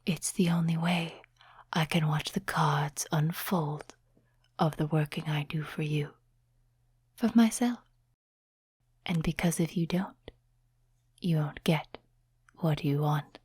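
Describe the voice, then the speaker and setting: A woman performs a seductive female demon in an ASMR roleplay, whispering very slowly with heavy breath in the voice. She is sly and condescending, coaxing a mortal with deliberate, teasing words. The voice sits a little low and sounds close and dry. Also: a little mellow, breathy edge, no room sound, heavily acted. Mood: coaxing, persuasive.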